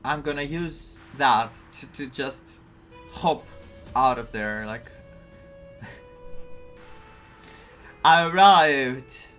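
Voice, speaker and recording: Casting: male streamer, unhurried, mid-pitched, casual reactive game commentary, halting and musing. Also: fairly bright tone, soft background music. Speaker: gaming streamer.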